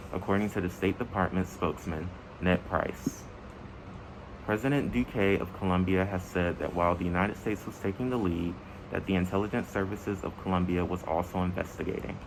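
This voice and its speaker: A young man hosting a student show, reading news from a script in a steady way and asking interview questions. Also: deep voice, vocal fry, background noise. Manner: steady read-from-script delivery. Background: music underneath.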